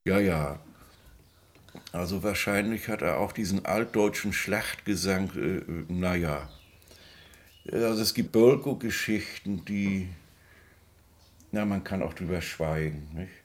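A man speaking as a music expert, explaining off the cuff in a halting, digressive way, often tagging his phrases with a questioning word. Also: low-pitched. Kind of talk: unscripted interview-style reminiscing explanation.

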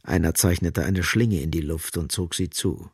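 A man's voice: low voice, moderate pace, husky, dry recording, clean studio sound. A man narrating a literary radio drama in a grave, dramatic storytelling manner.

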